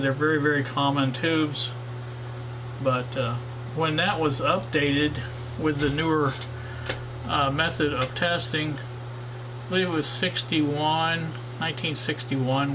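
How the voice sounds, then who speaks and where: A man's voice: a little high, flat delivery, unhurried, background noise. A man hosting a casual workshop vlog on old radios, talking in a rambling way with many fillers and restarts. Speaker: radio hobbyist channel host.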